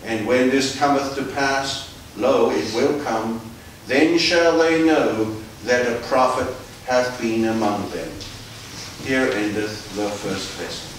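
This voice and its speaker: Slow, echoey man reading scripture. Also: mid-range voice. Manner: solemn, measured. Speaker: priest reading scripture lesson. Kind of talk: formal liturgical scripture reading. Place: small church, standing at lectern. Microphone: distant camera microphone. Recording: echoey church, distant mic, faint hiss.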